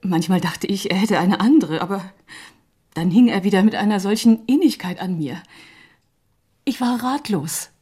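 Husky, hesitant grieving woman. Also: mid-range voice, expressive. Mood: troubled, confiding. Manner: hesitant, halting, hushed. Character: grieving, doubting woman confiding in a detective. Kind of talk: naturalistic radio drama acting.

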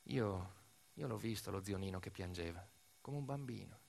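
A man performs a dramatic narrative monologue as an actor, telling the story deliberately in short, weighted phrases. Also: sits low, vocal fry, darkish tone. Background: music.

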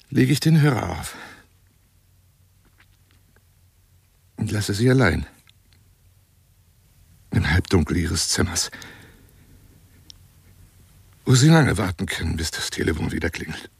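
An actor playing an obsessive, menacing, wounded male caller in a German radio play monologue, theatrical and florid, with a raspy voice and insinuating delivery. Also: low-pitched, animated, moderate pace, pauses often, no room sound, full character voice. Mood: scornful, vengeful. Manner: theatrical, florid, insinuating, swinging into breathy whispers.

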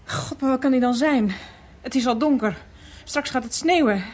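An actress playing an anxious, worried daughter in a radio play, speaking Netherlands Dutch in an urgent manner. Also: female voice, high-pitched, moderate pace, darkish tone, breathy edge. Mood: distressed. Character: anxious, distressed daughter seeking her missing father.

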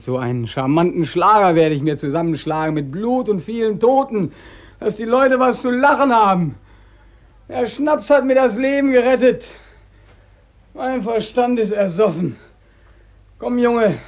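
An actor playing a desperate young veteran just back from war, bitter and brooding, in an old radio play. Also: male voice, very high voice. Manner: bitter, brooding, breaking into anguished cries. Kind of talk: post-war German radio play acting. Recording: dull old radio recording.

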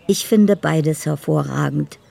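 Dreamy, mellow, creaky-voiced woman. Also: low-pitched, unhurried, heavily acted. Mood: dreamy, musing. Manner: poetic, musing. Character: dreamy, lonely woman narrating her love letters. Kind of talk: literary radio drama monologue.

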